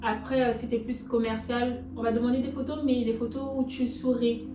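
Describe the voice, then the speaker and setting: A woman's voice: fairly high pitch, little pitch movement, a little fast, distant mic. A woman hosting a vlog, talking in casual slang with an upbeat, motivational manner. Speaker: vlog host. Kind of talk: casual chat. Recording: echoey room, off-mic, music behind.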